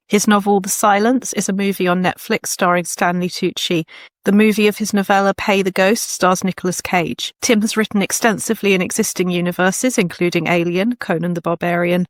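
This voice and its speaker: A woman's voice: lowish voice, dry recording. A woman hosting an interview podcast, speaking as a presenter in conversation with a guest. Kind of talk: scripted introduction and warm interview chat.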